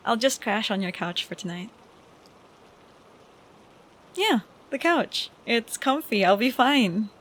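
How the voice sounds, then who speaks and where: A young woman playing an affectionate, troubled college-age best friend in an intimate audio roleplay, speaking softly and hesitantly with sighs. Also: on the high side, exaggerated voice. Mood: affectionate, wistful. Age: young adult. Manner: soft, hesitant, slow with sighs. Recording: steady background noise of rain. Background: rain sounds.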